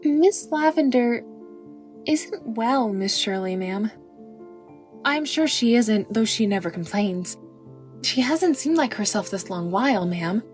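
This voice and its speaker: A woman's voice: on the high side, expressive, slow pace, darkish tone, soft background music. A woman voicing an anxious, devoted young maidservant girl in an audiobook, with an earnest, deferential, folksy rural way of talking. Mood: worried, confiding. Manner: rustic folksy dialect, deferential, breathlessly earnest. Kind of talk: audiobook character acting.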